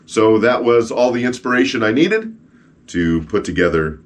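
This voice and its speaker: A man with a rough, froggy voice from a cold hosts a podcast, chatting casually with joking asides and reading stories aloud. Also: on the low side, expressive, normal speed. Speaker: podcast host and street evangelist.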